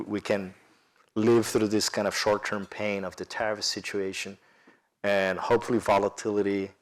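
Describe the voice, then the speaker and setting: Brazilian-accented man on a business panel. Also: on the low side. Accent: Brazilian Portuguese. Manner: animated, many fillers and restarts. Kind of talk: panel discussion.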